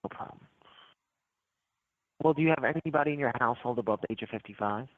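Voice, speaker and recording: A man's mid-pitched voice comes through a thin, tinny phone line. He runs a smooth, persistent sales script and keeps circling back to the same selling points. The talk is steady and practiced. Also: fast, dry recording. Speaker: phone tech support salesman.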